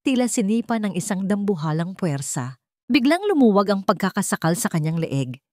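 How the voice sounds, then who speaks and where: A woman's voice: medium pitch, sing-song, a little fast, darkish tone, no echo. A woman storyteller reading a scripted, dramatic story in a close voice-over.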